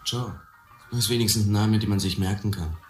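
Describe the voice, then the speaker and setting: Man acting a cocky, low-voiced streetwise harbour youth. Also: fairly quick, natural voice. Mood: cocky, driven. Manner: colloquial, cocky, blunt. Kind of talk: German radio play acting. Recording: roomy, music behind.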